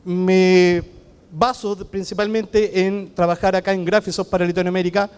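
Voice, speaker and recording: A Chilean man with a Chilean Spanish accent giving a conference talk as a technology consultant, talking fast and checking in with the audience often. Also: high-pitched, level tone, darkish tone, clear, resonant tone. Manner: fast-talking, engages the audience with frequent "¿ok?" tags. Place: large conference hall. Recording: long reverb of a large hall.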